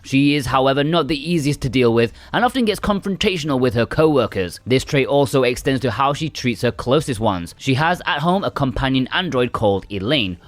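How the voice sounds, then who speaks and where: A man reading a scripted video game review in a measured, explanatory way with lightly wry asides. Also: mid-range voice, no room sound.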